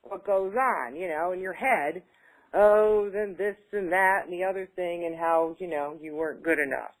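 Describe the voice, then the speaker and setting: A woman's voice: on the low side, moderate pace. Woman echoing affirmations back. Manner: repeats phrases, hesitant with many fillers when talking freely. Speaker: client in a tapping session. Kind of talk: repeating affirmations, then casual talk.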